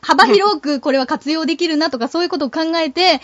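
A woman's voice: sits high, brisk. A woman chatting casually as a guest on a radio talk show. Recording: dull lo-fi recording.

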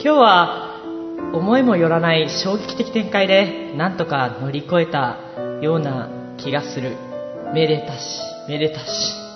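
A woman's voice: low voice, a little slow. A woman co-hosting a podcast with casual banter, agreeably chiming in. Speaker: podcast co-host. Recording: echoey reverberant dull audio, music behind. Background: prominent background music.

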